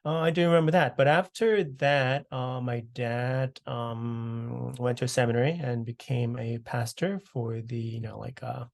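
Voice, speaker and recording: A man in his thirties from South Korea, answering oral history questions over a video call, relaxed and full of "uh" and "you know". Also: medium pitch, measured pace, creaky, hardly any pauses. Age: 30s. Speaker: interviewee.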